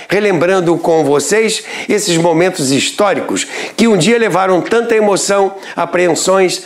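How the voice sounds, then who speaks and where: A man hosting a radio history show, giving measured, storytelling documentary narration. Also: high-pitched. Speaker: radio history podcast host.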